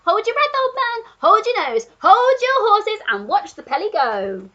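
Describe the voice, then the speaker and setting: A woman voicing an excitable, cheeky male monkey who shouts encouragement. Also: extremely high pitch, a little slow, muffled recording, pitched above the performer's own voice, full character voice.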